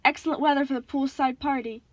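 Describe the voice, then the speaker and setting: A woman's voice: high-pitched, fairly even intonation, fast talker, dry recording, lightly acted. A woman voicing an overly cheerful man who makes cruise ship announcements, as a character in an audiobook. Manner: chirpy, announcing.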